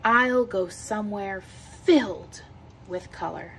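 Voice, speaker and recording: A woman reading a children's picture book aloud with expressive, deliberate storytelling delivery. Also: mid-range voice, noisy background. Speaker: read-aloud teacher.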